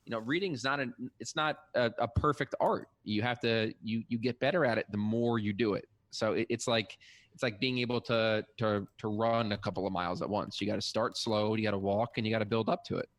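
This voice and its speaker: A man with a fairly low voice talks as a podcast co-host. His delivery is conversational, like a discussion among colleagues. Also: fairly even intonation, fairly quick. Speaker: therapist working with students.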